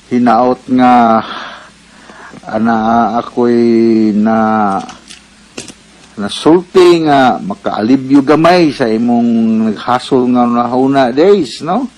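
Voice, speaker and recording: A man's voice: mid-range voice, darkish tone, rough recording. A man with a Bisaya Filipino accent hosting a radio advice program, explaining things like a doctor. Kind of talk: advice talk with sign-off.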